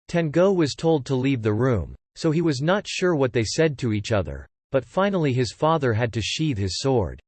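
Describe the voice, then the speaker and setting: A man's voice: medium pitch, darkish tone, no room sound. Man narrating an audiobook drama.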